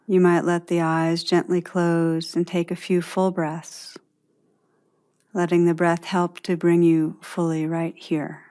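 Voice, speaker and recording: A woman leading a guided meditation, speaking gently and deliberately, with spacious pauses between phrases. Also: lowish voice, completely flat delivery, smooth.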